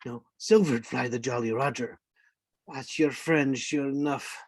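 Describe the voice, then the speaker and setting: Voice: medium pitch, steady tone, measured pace, slightly rough, dry recording. An actor playing a marooned, half-mad old pirate castaway in a radio play, rambling and repeating words in rustic sailor speech. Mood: confiding. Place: indoors, remote online reading. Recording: dry video-call recording.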